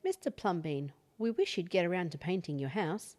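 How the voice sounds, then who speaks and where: A woman's voice: fairly low pitch, big pitch swings, normal speed, a little mellow. A woman voicing disapproving, exasperated neighbours in a children's storybook, with emphatic complaining. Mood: disappointed, nagging. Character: disapproving, exasperated crowd of conformist street neighbours.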